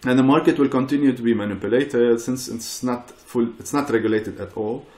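A middle-aged man talking as a panel guest over a video call from his living room, relaxed and peppered with "you know". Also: medium pitch, fairly quick, echoey room. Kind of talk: casual panel talk.

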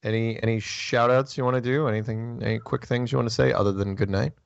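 A man's voice: mid-range voice, fairly even intonation, fast talker, gravelly, no echo, muffled recording. A man hosting a call-in show, chatty and joking in casual talk. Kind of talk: casual call-in radio chat.

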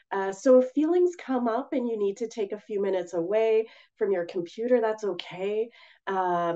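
Woman presenter, hoarse and raspy, mellow gentle delivery, slightly muffled call audio. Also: medium pitch. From Canada. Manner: gentle, measured, with frequent ums. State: hoarse from a cold. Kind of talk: webinar presentation. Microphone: video call.

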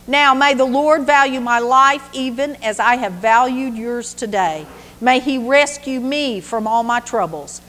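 A woman's voice: on the high side, a little slow, fairly bright tone, in a large hall. A woman voicing a young male warrior who taunts his enemies in a sing-song, mocking way. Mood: earnest.

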